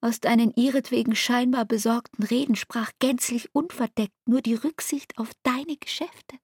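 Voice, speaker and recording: A woman acting a hurt young lover in a literary radio drama, husky and intimate, reproaching her partner. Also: on the high side, fairly even intonation, normal speed, a little mellow, dry recording, studio quality. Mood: hurt, accusing.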